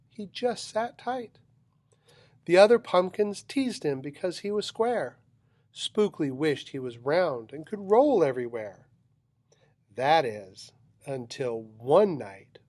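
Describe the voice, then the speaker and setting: A man with a slightly high voice reads a children's storybook aloud. He takes his time, giving the rhyming lines a dramatic, rhythmic delivery. The recording is close and dry. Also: darkish tone, dry recording. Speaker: storybook reader. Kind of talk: expressive children's picture book read-aloud.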